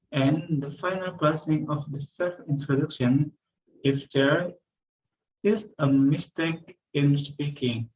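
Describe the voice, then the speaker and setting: A young man, a student reading a class presentation carefully, pausing and correcting himself. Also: a little high, a little mellow, highs cut off.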